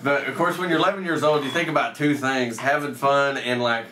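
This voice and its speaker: A man's voice: mid-range voice, fairly even intonation, a little fast, sharp tone, slight background noise. A man in his thirties telling a personal story casually, with swearing and acted-out bits of dialogue. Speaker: storyteller, host. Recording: roomy echo, off the mic.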